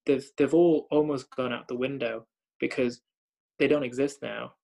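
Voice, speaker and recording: Young doctor from Thailand, low flat gravelly voice, halting reflective talk. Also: male voice. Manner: thoughtful, many fillers and repeated starts. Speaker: hospital doctor. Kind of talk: reflective conversation.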